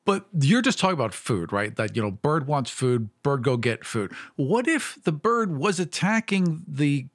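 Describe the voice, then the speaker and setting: A woman's voice: low-pitched, big pitch swings, normal speed, few pauses, no room sound. Woman, dry recording, casual gaming discussion, playful, questioning, colloquial.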